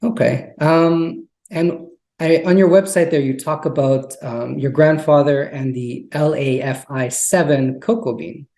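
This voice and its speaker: A man's voice: on the high side, a little slow, vocal fry, dark tone. A man hosting an interview, asking curious questions and answering often with short "oh, okay" remarks. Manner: curious, lots of "oh, okay" replies. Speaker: interviewer host. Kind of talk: casual interview. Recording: echoey room.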